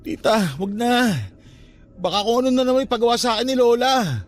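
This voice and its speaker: A man's voice: extremely high pitch, a little fast. An actor with a Filipino accent playing a stubborn, skeptical young man in a radio drama, defensive and grumbling. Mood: reluctant.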